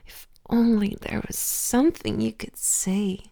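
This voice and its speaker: A woman whispering softly and teasingly in a possessive, intimate audio roleplay, laughing often, close to the microphone. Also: medium pitch, unhurried, no echo.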